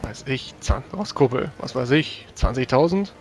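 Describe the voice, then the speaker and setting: A man's voice: mid-range voice, lively intonation, moderate pace, background noise, close to an everyday voice. A man playing a blunt, impatient commander in a fantasy tabletop roleplay, speaking curtly and giving orders. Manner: curt, commanding. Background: background music.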